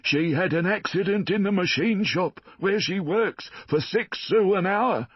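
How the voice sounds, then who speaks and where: A man's voice: high-pitched, measured pace, breathy edge, no echo, pitched above the performer's own voice. A man voicing a fawning, scheming poor man who pleads and whines. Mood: pleading. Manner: whining, pleading. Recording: dull dry lo-fi.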